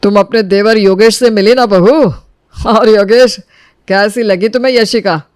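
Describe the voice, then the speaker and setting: A man's voice: sits high, steady tone, unhurried, smooth, no room sound. A man voicing a genial older father-in-law in a cartoon family story. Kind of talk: cartoon moral-story dialogue.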